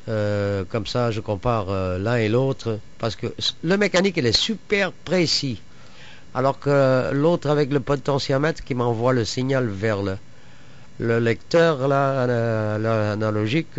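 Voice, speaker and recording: A man chatting casually as an amateur radio operator, explaining technical things in a rambling, halting way with trailing asides. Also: fairly low pitch. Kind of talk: casual radio contact chat about home-built antennas. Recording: dull lo-fi radio audio, faint noise.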